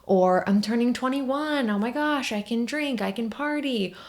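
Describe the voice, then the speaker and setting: Young woman in her twenties, casual solo podcast host, chatty and candid, a touch of vocal fry. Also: a little high, unhurried. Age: 20s. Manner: chatty and candid, lots of "like" and "oh my gosh" asides. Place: at home by a window.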